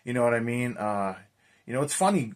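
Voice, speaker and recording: A man with a hoarse, raspy voice chatting energetically as a horror movie vlogger, loose and full of fillers. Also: medium pitch, expressive, brisk. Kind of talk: casual vlog chat.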